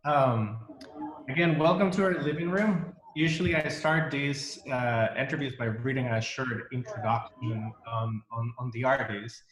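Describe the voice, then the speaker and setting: A man's voice: mid-range voice, a little slow, echoey room, poor audio. A man hosting an interview over a video call, speaking in a welcoming way with many fillers and restarts. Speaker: interview host. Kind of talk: casual interview.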